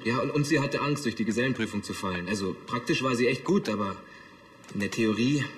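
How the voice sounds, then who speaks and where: An actor playing an earnest, anxious young apprentice in a TV drama, hesitant and worried. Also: male voice, mid-range voice, fast talker, a bit bright, roomy sound, slight background noise, close to an everyday voice. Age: young adult. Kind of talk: naturalistic TV drama acting.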